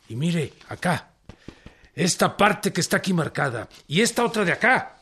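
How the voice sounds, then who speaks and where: An actor playing a young Mexican peasant leader in a radio drama, respectful but insistent, with a husky voice. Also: male voice, fairly high pitch, fairly bright tone, exaggerated voice.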